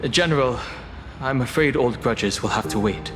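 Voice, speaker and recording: A man voicing a serious, level-headed ally in a game, speaking in a measured way. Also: medium pitch, natural voice. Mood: grave. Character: sober, level-headed male ally urging the general. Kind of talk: dramatic game voice acting. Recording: long reverb, loud music behind.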